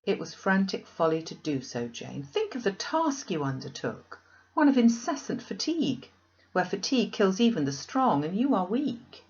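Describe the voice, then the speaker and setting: A woman voicing an outspoken, affectionate young gentlewoman, emphatic and questioning, in a classic novel. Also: mid-range voice, big pitch swings, moderate pace, muffled recording. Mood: worried, scolding.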